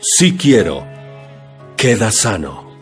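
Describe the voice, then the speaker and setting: A man's voice: fairly low pitch, sing-song, takes it slowly, faint music behind. An actor playing a commanding, compassionate male teacher and healer in an audio Bible drama, speaking in a solemn, emphatic, declamatory way. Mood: calm, firm.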